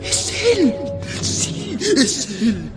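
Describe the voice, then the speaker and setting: An actor playing a sly, dogged older English police detective in a theatrical radio drama style. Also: male voice, very high voice, huge pitch swings, drawn-out words, vocal fry, heavily acted. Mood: excited suspicion. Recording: loud music behind the voice.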